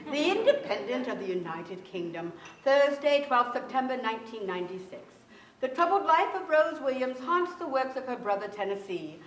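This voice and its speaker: A woman's voice: sits high, fairly bright tone, slight background noise. A mature woman giving a dramatic reading from a script on a theatre stage. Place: theatre stage before an audience. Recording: roomy theatre stage sound.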